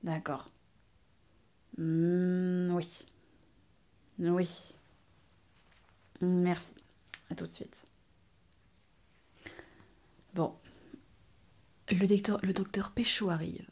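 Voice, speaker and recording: A woman playing a mildly exasperated hospital doctor in a soft, often whispered ASMR roleplay, speaking hesitantly. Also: lowish voice, little pitch movement, extremely slow, pauses often, exaggerated voice. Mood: pleading, hesitant. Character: female hospital doctor, mildly exasperated with her colleague, coaxing.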